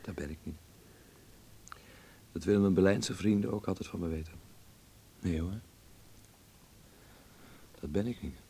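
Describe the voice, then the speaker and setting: A tender, teasing young man in a radio play. His voice sits very low with a fry-laden grain, and he speaks in halting, intimate phrases. A faint noisy rumble runs under the recording. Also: warm tone. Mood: gently teasing. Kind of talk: Dutch radio play acting.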